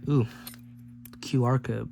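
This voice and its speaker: Man with flat delivery, noisy hum behind. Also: mid-range voice, takes it slowly. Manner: rambling, jokey offhand remarks. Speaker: host of a gadget teardown video. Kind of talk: casual hands-on teardown commentary. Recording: clearly noisy recording with hum.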